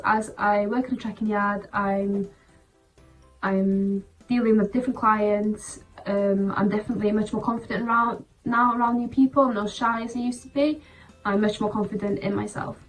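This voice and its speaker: A woman whose first language is Welsh, giving a careful, slightly halting prepared testimonial as a trekking yard apprentice. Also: medium pitch, fairly even intonation, far from the mic, soft background music, low-quality recording.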